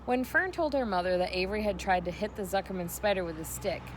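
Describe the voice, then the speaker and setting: A woman's voice: mid-range voice, fast, poor audio. Woman reading a storybook over noise. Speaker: read-aloud narrator. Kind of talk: storybook narration.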